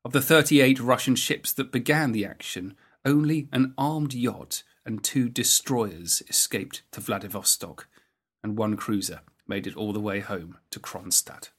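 A man's voice: lowish voice, unhurried, fairly bright tone, slightly rough. A man hosting a podcast, delivering scripted historical narration.

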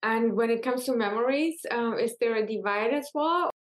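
Curious woman host, fairly high voice. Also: normal speed. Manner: curious, asks short probing questions. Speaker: podcast host. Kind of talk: podcast interview.

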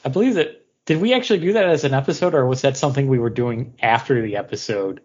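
Male podcast co-host, mid-pitched voice, casual and a bit halting, slightly lo-fi recording. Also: darkish tone, husky. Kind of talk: casual chat about comic books. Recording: dull, lo-fi podcast audio.

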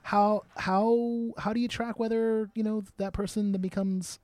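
A man's voice: sits high, flat delivery, a little slow, a little mellow, no echo. A man hosting a casual interview, upbeat and prompting his guests with questions. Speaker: podcast host. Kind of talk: casual chat. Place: dark outdoor hollow at a theater venue. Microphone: shared handheld mic.

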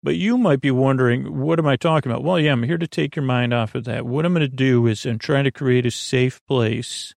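Male sleep-podcast host: gravelly, creaky, close and dry, meandering and hesitant, soothing bedtime delivery. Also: mid-range voice, no room sound. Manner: meandering, hesitant, self-interrupting asides. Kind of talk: rambling, soothing bedtime monologue.

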